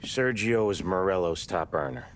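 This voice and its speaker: A man playing a calm, businesslike mob adviser in a cinematic video game. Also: low voice, moderate pace, a little hiss or hum. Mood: measured.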